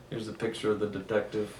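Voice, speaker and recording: A man, a PE teacher, reading a picture book aloud to children and gently asking them questions, recorded in an echoey room away from the mic. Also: fairly low pitch, far from the mic, background noise. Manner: gentle, asks the kids questions, chuckles.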